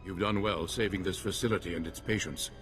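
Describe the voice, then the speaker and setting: Game role: older warrior mentor, calm and formal, deep-pitched, soft music underneath. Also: male voice. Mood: measured. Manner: measured, formal. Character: calm, seasoned older male Jedi strategist. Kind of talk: video game cutscene acting.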